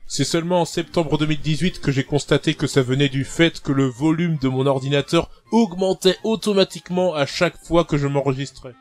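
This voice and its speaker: A man who does fan dubbing, explaining things in a calm, matter-of-fact way. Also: fairly high pitch, brisk, few pauses. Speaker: fandub voice actor. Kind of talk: casual recorded answer.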